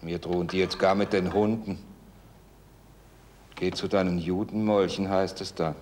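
Schauspieler als grollender, tiefer Dorfbewohner. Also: male voice, low-pitched, steady tone, normal speed, darkish tone, slight background noise, close to an everyday voice. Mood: aggrieved. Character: aggrieved, boastful village man, Hitler's self-proclaimed half-brother.

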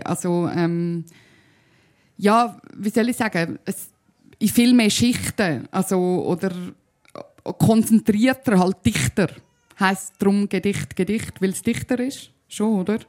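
Young Zurich Swiss woman, mid-pitched, talking on a stage, musing with fillers and half-finished thoughts. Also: a little slow, darkish tone. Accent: Zurich Swiss German. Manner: thinks aloud, restarts, many fillers and rhetorical questions. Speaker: musician and spoken-word artist, guest. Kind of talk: casual conversation.

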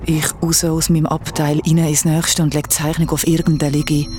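A woman's voice: low voice, flat delivery, moderate pace, noisy background, close to an everyday voice. A woman with a Swiss German accent playing a shaken, insistent young traveller who narrates her ordeal in a radio drama. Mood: shaken, tense.

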